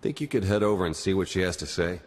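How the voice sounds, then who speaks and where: A man's voice: deep voice, expressive, quick pace, husky. A man voicing a practical, friendly tech ally in a video game, briefing in an informal, matter-of-fact way. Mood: businesslike. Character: male slicer ally, eager, practical, friendly. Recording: loud music under the voice. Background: cinematic game music.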